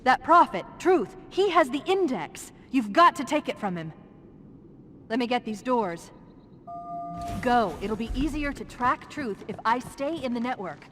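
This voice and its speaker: An actress playing a confident female artificial intelligence in a video game, giving brisk, crisp tactical guidance. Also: fairly high pitch, very animated, over music. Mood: brisk, determined. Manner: crisp, urgent tactical instructions.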